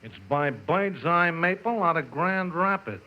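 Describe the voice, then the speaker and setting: An actor playing a sly racetrack tout in an old radio comedy, talking in terse, conspiratorial deadpan. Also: male voice, fairly high pitch, measured pace. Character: sly, furtive racetrack tout whispering odd tips. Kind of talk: 1950s radio comedy acting. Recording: dull, noisy old radio recording with clicks.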